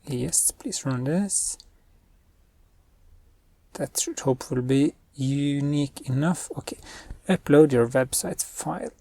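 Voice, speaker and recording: Brief: young male coding host, husky and mellow, dry close sound, thinks aloud. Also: fairly high pitch, takes it slowly, no room sound. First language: Norwegian. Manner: thinks aloud while giving spoken commands. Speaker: web developer and channel host. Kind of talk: screen-recorded coding tutorial.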